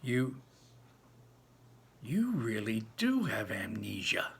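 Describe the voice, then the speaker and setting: A man's voice: fairly low pitch, wildly swooping pitch, takes it slowly, gravelly, fairly bright tone, slightly rough, full character voice. Man as a solemn, insistent teenage sidekick. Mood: stunned insistence. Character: solemn, sly teenage sidekick bully boy.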